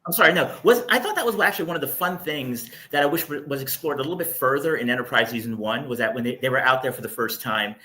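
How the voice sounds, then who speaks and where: A man with a bright, forward voice talks quickly at a medium pitch. He sounds like an enthusiastic fan in casual panel conversation. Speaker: guest panelist.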